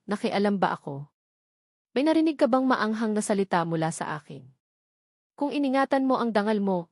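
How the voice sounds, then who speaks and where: A woman's voice: medium pitch, a little mellow, no echo, clean studio sound, lightly acted. A woman voicing a feisty, defiant young fiancée in a romance audio novel, indignant and argumentative.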